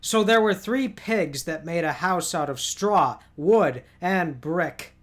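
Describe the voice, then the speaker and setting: A man with a voice a little higher than usual narrates a scripted episode recap. He retells the story step by step as the narrator of a video essay. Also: lively intonation, takes it slowly, a bit bright.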